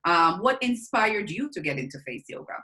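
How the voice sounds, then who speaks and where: A woman's voice: on the low side, big pitch swings, moderate pace, sharp tone. A woman hosting a fitness podcast, enthusiastic and chatty, often repeating words. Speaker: podcast host. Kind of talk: casual podcast interview. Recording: faint music underneath.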